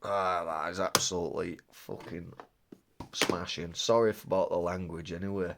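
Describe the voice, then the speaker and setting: A man's voice: deep voice, moderate pace, heavy background noise. Chatty man with a cold. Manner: chatty, rambling, excitable. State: slightly bunged up with a cold. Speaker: card-opening vlogger. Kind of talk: casual unboxing chat.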